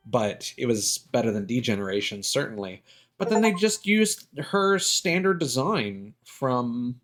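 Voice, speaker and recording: A man's voice: mid-range voice, fairly bright tone. A man giving casual, rambling and opinionated commentary as a game livestreamer. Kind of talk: casual gaming stream commentary. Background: music.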